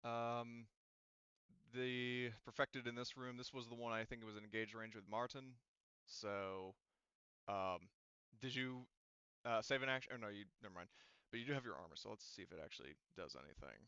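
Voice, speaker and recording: A man's voice: medium pitch, moderate pace, sharp tone, clean tone, no room sound, lo-fi sound. A man running a tabletop roleplaying game as game master, casually thinking aloud as he works through the dice results.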